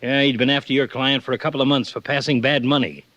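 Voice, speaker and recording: An actor playing an irritable, businesslike police lieutenant in a 1940s radio drama. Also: male voice, mid-range voice, steady tone, quick pace, a bit bright, no room sound, lo-fi sound, natural voice. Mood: urgent, businesslike. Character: irritable male police lieutenant, gruff but loyal friend. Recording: dry vintage 1940s radio recording.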